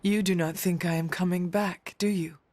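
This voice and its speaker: A woman's voice: lowish voice, fairly even intonation, moderate pace, darkish tone, no echo, natural voice. A woman playing an earnest, formal warrior from centuries ago in a video game. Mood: puzzled. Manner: formal, stiff, no contractions.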